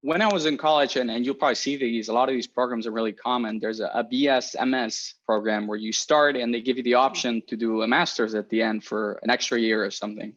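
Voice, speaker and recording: A man's voice: medium pitch, steady tone, fast, few pauses, a bit bright, muffled recording. A young man in his twenties who grew up in Spain, an engineer chatting casually to students about careers, friendly and rambling with many restarts. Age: 20s. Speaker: research engineer giving a career talk.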